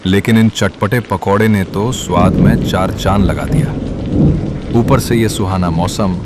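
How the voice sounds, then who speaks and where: A man playing an effusive, appreciative customer at a food stall in an animated story. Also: sits low, measured pace, noisy background. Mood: delighted. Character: delighted male customer praising street food. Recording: rain and thunder behind.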